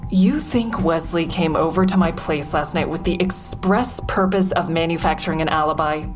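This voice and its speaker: Actress doing a low voiced woman comic in an audio drama, wry n guarded, defensive, music under her. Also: moderate pace, lightly acted. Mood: defiant. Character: defensive, sardonic woman comedian under police questioning, narrating inwardly. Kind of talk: full-cast audio drama acting with first-person narration.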